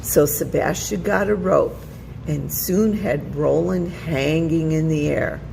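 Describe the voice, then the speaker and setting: A woman's voice: sits low, slow pace, vocal fry, warm tone, big-room sound, slight background noise, rough recording. A woman narrating a children's storybook aloud as a storytime reader.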